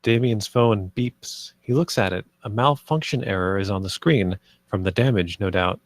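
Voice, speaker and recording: A man's voice: lowish voice, normal speed, no echo, studio quality. A man reading stage directions as narrator at a screenplay table read.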